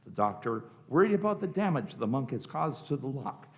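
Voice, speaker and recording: Male narrator for radio drama, gravelly and lively, scripted stage directions. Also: medium pitch, brisk.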